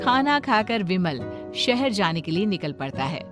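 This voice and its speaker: A woman's voice: mid-range voice, very animated, normal speed, faint music behind. A woman narrator reading a scripted suspense story.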